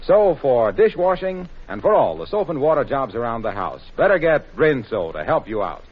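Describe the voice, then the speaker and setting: A man announcing in scripted 1940s radio style, delivering sponsor pitches and appeals. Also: very animated, normal speed, husky. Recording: muffled, worn 1940s radio recording.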